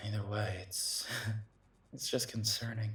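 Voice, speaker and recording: A man playing a protective, worried werewolf detective boyfriend in an intimate roleplay audio drama, speaking softly and reassuringly with hesitant pauses. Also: on the low side, breathy edge, exaggerated voice. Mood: concerned.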